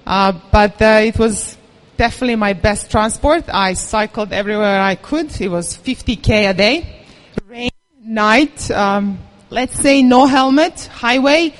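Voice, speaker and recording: Woman, speaker at a live talk, candid and self-mocking, a bit nervous. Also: mid-range voice. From Serbia. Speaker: event speaker. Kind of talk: personal story talk to an audience.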